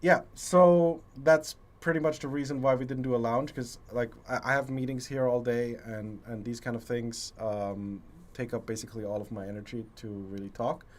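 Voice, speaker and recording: A man hosting a loose livestream, his voice rough and deeper than usual, strained from illness. He talks in stops and starts, full of fillers and restarts, with the odd curse thrown in. His pitch sits in an ordinary middle range. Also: steady tone. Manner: halting, many fillers and restarts, casual swearing. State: voice strained from a long lung infection. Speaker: livestream host. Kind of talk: casual livestream chat.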